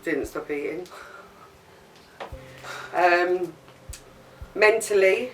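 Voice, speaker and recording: A woman's voice: on the low side, very slow, a little hiss or hum. A woman in her forties answering interview questions in clipped, matter-of-fact sentences. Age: 40s. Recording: distant mic, music underneath.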